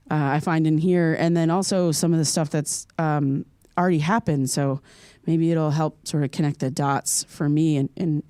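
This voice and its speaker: Mellow, hesitant woman podcaster played by an actress. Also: sits low, no echo, clean studio sound, natural voice. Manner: hesitant, casual, halting. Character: pensive woman in her thirties, searching into her past. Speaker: amateur investigator and podcast host. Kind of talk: naturalistic audio drama acting, confiding podcast monologue.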